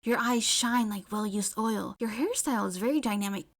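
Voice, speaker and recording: Eager schoolgirl inventor, acted by a woman: high voice, intense, blunt, awkwardly scheming, for an audio story. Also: moderate pace. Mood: bluntly friendly.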